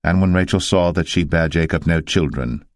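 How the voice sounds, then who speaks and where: Deep-voiced man narrating scripture solemnly. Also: dry recording, studio quality. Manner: solemn, measured storytelling.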